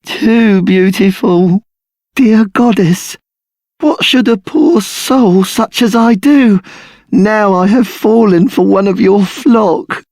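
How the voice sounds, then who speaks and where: High-voiced, lovestruck pious soul. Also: male voice, slow, a little mellow, no echo. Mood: smitten, pleading. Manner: melodramatic, swooning. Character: lovestruck, pious poor soul pining after a nun.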